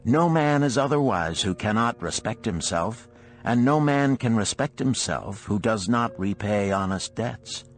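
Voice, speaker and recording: A man's voice: lowish voice, lively intonation, measured pace, soft background music, voice pushed up. Man voicing a stern desert matriarch. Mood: scornful. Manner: formal archaic phrasing, imperious. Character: stern, cold older chieftain's wife, blunt and challenging.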